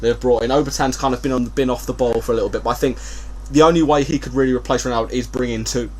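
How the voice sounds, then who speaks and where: A man's voice: medium pitch, racing. A man hosting a casual football podcast, talking in a hesitant, jokey way as he thinks aloud. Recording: hum and steady background noise.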